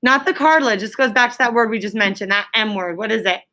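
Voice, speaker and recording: A woman's voice: on the high side, fast talker, sharp tone. A woman teaching elementary school pupils in a classroom, prompting them with questions and repeating them, often checking with "right?".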